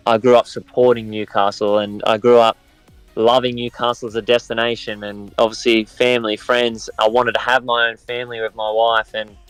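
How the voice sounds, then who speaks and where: An Australian man in his thirties, a professional footballer answering interview questions in a measured, reflective way. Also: mid-range voice, little pitch movement, a bit bright, smooth, no echo, soft background music, poor audio. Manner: measured and reflective, many restarts.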